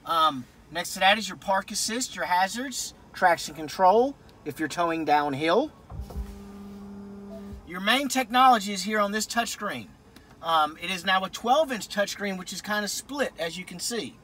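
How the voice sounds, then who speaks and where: A high-pitched middle-aged man talks in a casual, folksy way, as if showing a vehicle to viewers. He is recorded on a camera inside a truck cab, with faint noise behind him. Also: expressive, normal speed, bright, forceful tone. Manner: folksy, informal. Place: inside a pickup truck cab in a parking lot. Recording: camera audio with cabin noise.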